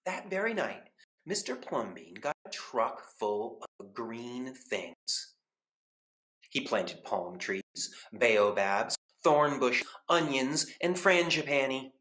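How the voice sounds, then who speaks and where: A man narrating a children's storybook as a librarian would, expressively, with deliberate pauses between phrases. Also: medium pitch, very animated, bright, forceful tone. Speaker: school librarian reading aloud. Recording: echoey room.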